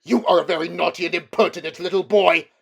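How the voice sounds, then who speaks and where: A man voicing a fussy, sneaky old gentleman from a children's storybook. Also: sits high, fairly even intonation, quick pace, vocal fry, voice pushed up. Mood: indignant scolding. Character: fussy, sneaky, indignant old English uncle.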